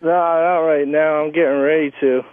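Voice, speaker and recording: A man's voice: a little high, little pitch movement, normal speed, no echo. A man calling a radio show by phone, chatting casually. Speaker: phone-in caller. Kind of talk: casual chat. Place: outdoors. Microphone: telephone. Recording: dull phone call, voices behind.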